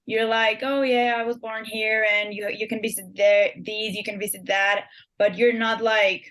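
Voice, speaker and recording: Young woman from Ecuador, guest author, chatty and full of fillers, mid-pitched. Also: level tone, normal speed, fairly bright tone, low-quality recording. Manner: chatty, restarts words, many fillers. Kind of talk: casual interview answers.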